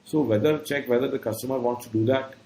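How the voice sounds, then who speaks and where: A male trainer with an Indian accent explains things step by step in a didactic manner. His voice is mid-pitched and rings in a roomy, echoey space, with faint noise behind it. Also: quick pace, warm tone. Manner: didactic, often checking in with "okay". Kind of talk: instructional lecture, explaining step by step.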